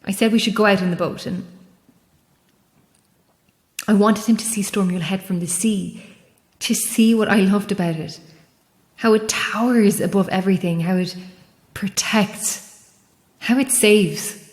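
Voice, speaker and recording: A woman playing a guilt-ridden, lonely lighthouse keeper in a horror audio drama, speaking in a halting, raw, confessional way. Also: mid-range voice, lightly acted. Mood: haunted confession. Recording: long reverberant echo.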